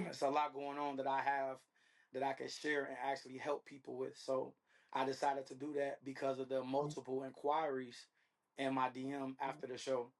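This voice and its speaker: A man giving casual answers as an interview guest. His mid-pitched voice stays very even, and he talks in loose slang with frequent check-in phrases. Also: normal speed. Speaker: interview guest, reality dating show contestant.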